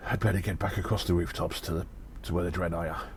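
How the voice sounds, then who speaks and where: A man playing a weary gnome investigator in an audio drama, musing haltingly and deliberately with breathy sighs. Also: sits low, fairly even intonation. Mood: tired, resolved. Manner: slow, deliberate, halting, pondering. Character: weary gnome investigator reporting on a spy conspiracy. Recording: faint background noise.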